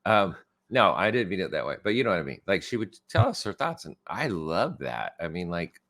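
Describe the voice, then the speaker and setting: A middle-aged man co-hosting a casual podcast, a bit hoarse and tired this morning, joking and laughing at himself. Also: deep voice, big pitch swings, fairly quick, fairly bright tone. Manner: joking, self-deprecating, lots of laughs. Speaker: podcast co-host.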